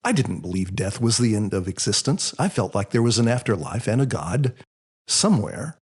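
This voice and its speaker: Husky, expressive man narrating a story. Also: lowish voice, moderate pace. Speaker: author reading his own story. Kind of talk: scripted first-person audiobook narration.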